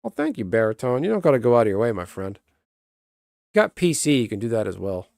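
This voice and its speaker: Calm, rambling man on a dry close mic. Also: mid-range voice, quick pace, creaky, no echo. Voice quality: calm, even voice. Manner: rambling, self-interrupting, chats with viewers. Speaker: streamer. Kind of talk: casual live-stream chat.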